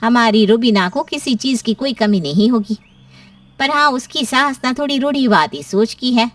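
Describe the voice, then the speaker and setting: A woman's voice: fairly high pitch, normal speed, far from the mic, natural voice. A woman playing a reassuring, persuasive middle-aged aunt in story dialogue, emphatic and coaxing.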